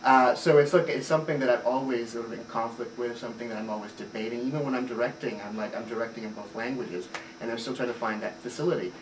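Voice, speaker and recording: A man's voice: medium pitch, fast talker, hardly any pauses. Thoughtful man heard from afar. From Puerto Rico. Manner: thoughtful, fluent, frequent "uh" fillers. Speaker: theater director. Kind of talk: reflective interview answers. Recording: roomy, distant mic, noisy ambience.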